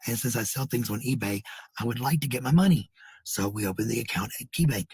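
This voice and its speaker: An American man with a husky, gravelly voice rambling casually to livestream viewers, blunt, sweary and given to repeating phrases. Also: medium pitch, quick pace, a little mellow, no room sound. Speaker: live streamer.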